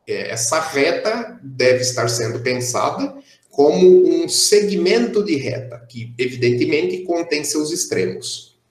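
A young man with a fairly high voice lectures on mathematics from a room with audible echo. He talks in a steady, explanatory way and drops "é" fillers between his points. The sound comes through a computer mic on a video call. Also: a little slow. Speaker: math lecturer. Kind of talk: online math lecture.

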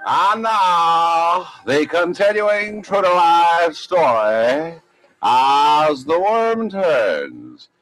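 A hammy, overblown soap opera announcer, voiced by a man in an old radio comedy clip. His pitch swoops all over and he drags each phrase out slowly. The sound is dry and close, as from an archival broadcast. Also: very animated, fairly bright tone, no room sound, full character voice, poor audio. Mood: mock-grand. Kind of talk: 1950s-60s radio comedy spoof.

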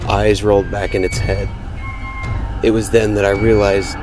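Low-pitched man narrating horror. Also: level tone, moderate pace. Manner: dramatic, suspenseful storytelling. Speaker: podcast host and narrator. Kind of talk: first-person horror story narration. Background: music behind the voice.

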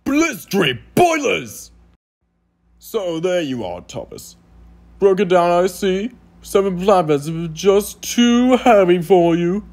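Guy doing a snooty boastful cartoon train, gravelly and kinda high, voice swooping all over. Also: big pitch swings, takes it slowly, darkish tone, exaggerated voice. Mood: haughty. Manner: pompous, theatrical, sneering. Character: boastful, scornful silver steam engine, male, pompous rival. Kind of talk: amateur cartoon voice audition.

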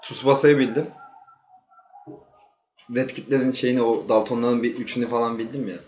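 A man's voice: medium pitch, fairly even intonation, normal speed, darkish tone. A man in his thirties chatting casually and jokingly as a co-host, recorded at a table in a small studio. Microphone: shared table microphone. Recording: roomy with faint background noise.

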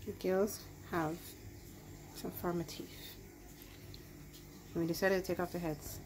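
A woman's voice: fairly low pitch, fairly even intonation, measured pace. A woman chatting casually and curiously as a vlog host. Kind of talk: casual food vlog chat. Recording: very loud outdoor and vehicle noise.

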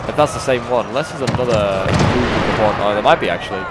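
Man with a cold over loud battle music. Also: on the low side, fast. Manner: rambling, with frequent fillers and restarts. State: hoarse from a cold. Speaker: game commentator. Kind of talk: casual battle replay commentary. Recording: loud game music underneath. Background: loud game battle music and gunfire.

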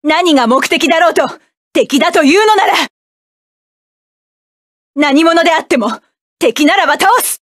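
A woman's voice: sits high, normal speed, long pauses, no room sound, studio quality, close to an everyday voice. A woman voicing a fierce young mech pilot. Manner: forceful battle shouts and clipped commands. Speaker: voice actress. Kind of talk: game voice clips.

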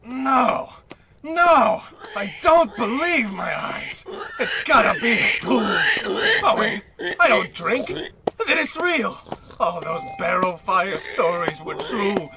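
A man playing a wistful, excitable old tramp in a radio comedy, folksy, theatrical and rambling. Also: high voice, takes it slowly, music in the background, heavily acted. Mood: amazed, pleading. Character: down-on-his-luck old tramp, failed vaudevillian, wistful and excitable. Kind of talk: old-time radio comedy play acting.

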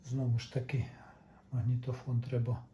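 A man's voice: mid-range voice, level tone, moderate pace, warm tone, dull audio, a little hiss or hum. A man giving unscripted, step-by-step repair instructions in short, methodical phrases. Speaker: repair tutorial host.

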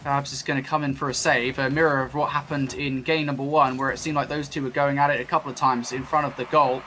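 A British man commentating a high school esports match, chatty with jokey asides. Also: little pitch movement, quick pace, pushed and bright, a little hiss or hum. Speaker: esports caster.

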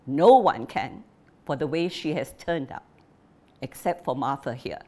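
A woman voicing a bitter, furious old father who shouts and scolds his family. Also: very deep voice, expressive, measured pace, heavily acted. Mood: scolding. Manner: shouting, scolding, jabbing repetition.

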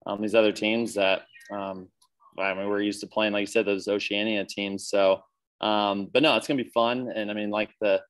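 A young man with an American accent and a slightly low voice talks casually as a guest on a video call. He rambles amiably, filling pauses with ums and yeahs. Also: little pitch movement. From United States. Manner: easygoing, chatty, many fillers. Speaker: baseball player guest.

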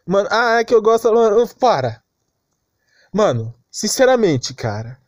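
Young man, Brazilian accent, slangy complaining commentary, exasperated, animated pitch, dry recording. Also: unhurried, dry recording. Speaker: anime commentary vlogger. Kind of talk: casual unscripted ranting commentary.

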